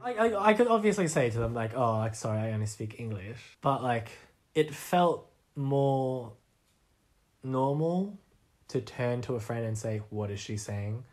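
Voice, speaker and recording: A man's voice: medium pitch, animated, measured pace, a little mellow. Casual young Australian man, self-deprecating. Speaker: interviewee, artist. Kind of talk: casual conversational interview.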